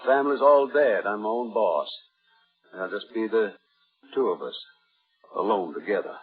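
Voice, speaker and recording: An actor playing a plain-spoken, controlling hotel keeper courting in a vintage radio drama, folksy and clipped. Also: male voice, on the low side, moderate pace, slightly rough, phone-quality sound, faint music behind. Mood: quietly ominous. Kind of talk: 1950s radio drama acting. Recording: thin, band-limited vintage radio broadcast.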